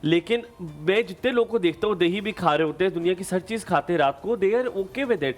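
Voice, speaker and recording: A man's voice: high-pitched, a little fast, soft background music. A man hosting a live morning talk show, chatting and joking with guests and slipping English phrases into his questions. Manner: lively, mixes English phrases, jokey. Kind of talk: studio talk show chat. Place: TV studio.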